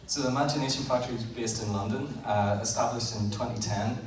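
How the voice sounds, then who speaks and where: Northern irish guy giving a talk at an event, kinda halting with lots of uhs, far off the mic, noisy background. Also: medium pitch, fairly even intonation. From Northern Ireland. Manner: plain, a little halting, with frequent "uh" and repeated words. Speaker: engineer presenting at an event. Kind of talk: live presentation to an audience. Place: large event hall. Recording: roomy, distant event recording with background noise.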